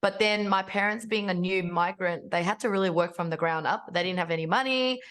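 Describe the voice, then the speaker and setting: A woman in her forties, born in Vietnam and raised in Australia, telling her story chattily and brightly as a podcast guest. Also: medium pitch, fairly quick. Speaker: guest, business founder. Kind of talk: podcast interview.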